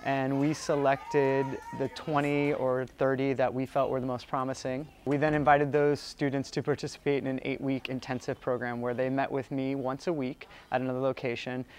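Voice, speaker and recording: A man who teaches theatre, calmly explaining a student writing and staging program in an interview. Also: medium pitch, slight background noise. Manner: measured, explanatory.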